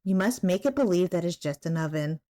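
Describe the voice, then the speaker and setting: A woman doing a casual let's-play, reading game text aloud and murmuring to herself in a halting way. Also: on the low side, expressive, warm tone. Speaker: gaming streamer playing a visual novel.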